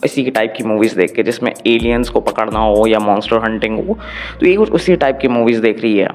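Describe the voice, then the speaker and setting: A man's voice: on the low side, fairly quick. A man with an Indian accent narrates a story recap informally in the first person, slipping between Hindi and English phrases. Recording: voice-over with music underneath.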